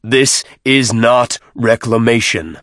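Man voicing a booming, slow, ancient machine oracle. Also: no room sound, studio quality, natural voice. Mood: stern correction. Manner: slow, solemn proclamation. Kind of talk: audiobook character voice.

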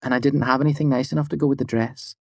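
A modest young woman as a male audiobook narrator plays her, gentle and a little self-conscious. The pitch is lifted well above a man's talking voice, and the recording is dry. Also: fairly even intonation, racing, vocal fry, no room sound. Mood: embarrassed. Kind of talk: audiobook character dialogue.

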